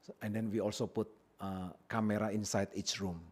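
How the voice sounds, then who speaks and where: Man speaking haltingly at a podium. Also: lowish voice, level tone, breathy edge. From Indonesia. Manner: plain, halting speech with frequent pauses and fillers. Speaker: applicant presenting a business plan. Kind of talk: public hearing presentation. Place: city council chamber. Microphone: podium microphone.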